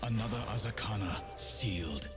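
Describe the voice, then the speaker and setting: An actor playing a solemn, grim demon-hunting swordsman in a game, speaking in measured, deliberate lines. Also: lowish voice, music in the background, natural voice. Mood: solemn, menacing. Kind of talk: scripted game character lines.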